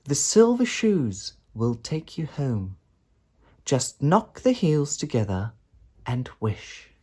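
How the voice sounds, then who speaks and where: Glinda: gentle, kind good witch, voiced by a man. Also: medium pitch, wildly swooping pitch, takes it slowly, slightly rough, pitched above the performer's own voice, exaggerated voice. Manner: soft, gentle, reassuring.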